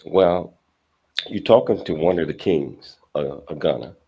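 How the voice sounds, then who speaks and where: Guy hosting a talk show, super deep voice, rambly and chummy, casual chat. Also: a little slow, mellow, breathy edge, lo-fi sound.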